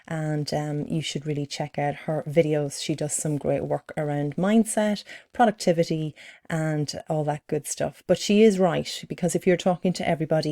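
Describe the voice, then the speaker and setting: Irish female business coach, thirties, low mellow voice, steady and encouraging delivery. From Ireland. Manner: steady, encouraging, uses fillers. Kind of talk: presentation to camera.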